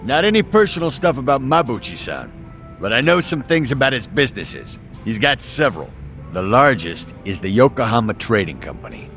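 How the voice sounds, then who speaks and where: An actor playing a cornered, low-ranking young gang member, blunt, sarcastic and foul-mouthed, in an English video game dub. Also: male voice, sing-song, a little fast, vocal fry, fairly bright tone, soft background music. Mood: wary. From Japan.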